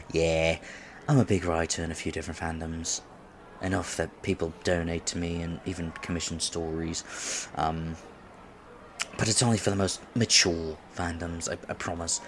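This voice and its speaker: A man's voice: sits low, steady tone, a little slow, pauses often, a little hiss or hum. A man in his twenties playing a nervous, polite young silver fox kitsune who wants to seem mature, in an ASMR date roleplay, speaking formally with stammers. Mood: sheepish, rambling. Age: 20s. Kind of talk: ASMR roleplay, scripted one-sided date conversation.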